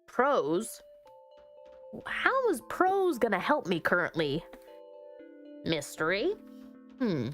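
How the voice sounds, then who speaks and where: Chatty girl streamer doing a lets play, unhurried, thinking out loud, soft music behind her. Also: mid-range voice, huge pitch swings. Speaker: game streamer. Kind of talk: casual let's-play commentary, reading game text aloud. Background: background music.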